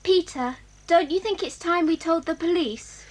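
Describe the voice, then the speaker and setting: A woman's voice: very high voice, normal speed. A woman voicing a cautious, sensible young girl in a children's radio drama. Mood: worried.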